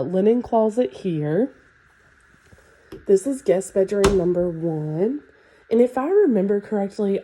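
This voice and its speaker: A woman with a stuffy, nasal voice from a sinus infection, casually walking through a house as a real estate agent. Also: fairly low pitch. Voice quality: nasal, congested. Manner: relaxed and chatty, with fillers like "um" and "like". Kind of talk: casual home walkthrough tour.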